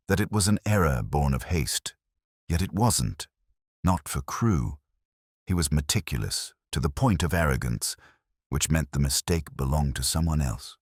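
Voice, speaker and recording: A middle-aged man reading a first-person detective story in a measured, brooding way, as scripted audiobook narration. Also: rumbling low, no room sound, studio quality.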